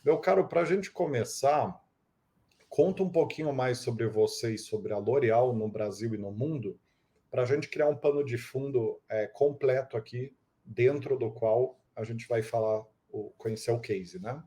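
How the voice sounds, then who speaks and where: A man's voice: mid-range voice, measured pace, mellow. A man hosting an interview podcast, reading a fluent opening and then chatting with his guest. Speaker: host.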